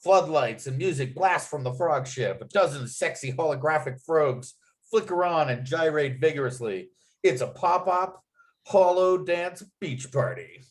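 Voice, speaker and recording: A man's voice: fairly high pitch, animated, measured pace. A man narrating at a script read, reading out stage directions and scene headings.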